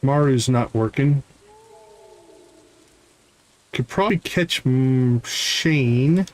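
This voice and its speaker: A man's voice: mid-range voice, very slow, a little mellow, low-quality recording. A man hosting a game stream, casually explaining what is on screen and thinking aloud in an informal way. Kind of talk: casual live gameplay commentary, explaining as they play.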